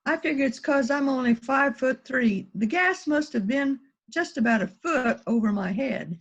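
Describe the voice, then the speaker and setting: A woman's voice: high voice, lively intonation, moderate pace, breathy edge, heavily acted. A woman playing a small, innocent-sounding murder suspect in an amateur mystery reading over dull call audio. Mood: innocent, explaining. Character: small, guileless-sounding female murder suspect spinning an alibi. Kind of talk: amateur readers theater mystery.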